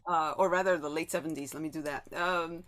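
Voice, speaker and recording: A woman's voice: low voice, steady tone, brisk, a bit bright. A woman moderating a panel discussion, whose first language was Spanish, talking with many fillers and a habit of ending points with "right?". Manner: frequent "um" and tag "right?".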